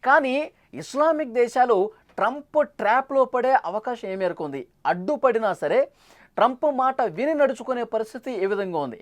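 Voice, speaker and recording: A woman speaks as a news anchor in a fairly high voice. She lays out context and poses questions in a news discussion.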